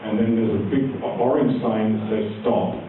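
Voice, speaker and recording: A man's voice: fairly low pitch, steady tone, moderate pace. A man moderating a candidates forum in a community hall, chatty and joking, with frequent ums and uhs. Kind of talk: live event emcee address. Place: community hall with a large audience. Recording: far-off, echoey, phone-like and noisy room recording.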